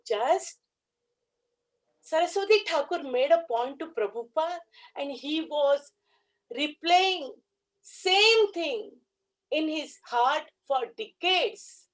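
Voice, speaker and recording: A woman giving an earnest devotional tribute talk, speaking in short phrases with pauses. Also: high-pitched, low-quality recording.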